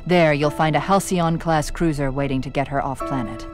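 Woman playing a grave, low-voiced older scientist. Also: lightly acted. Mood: solemn, commanding. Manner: measured, formal, authoritative diction. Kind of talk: video game cutscene acting. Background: orchestral game music.

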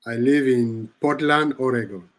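A man from Cameroon, a guest on a video call, speaking slowly with many fillers and light laughs through a headset. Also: medium pitch, crowd noise. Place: home room, indoors.

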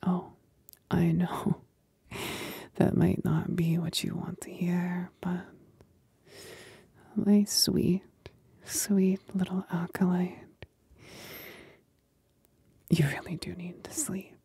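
A woman voicing a possessive demon companion in a whispered ASMR roleplay, breathy and husky, teasing and coolly commanding with condescending sweetness. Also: lowish voice, little pitch movement, dark tone, dry recording, full character voice. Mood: coaxing, commanding. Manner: slow, deliberate, condescendingly sweet.

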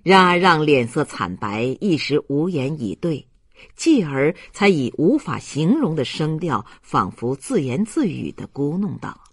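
Narrator for literary fiction: unhurried, husky, expressive storytelling in a dry recording. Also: medium pitch, no room sound. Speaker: audiobook narrator.

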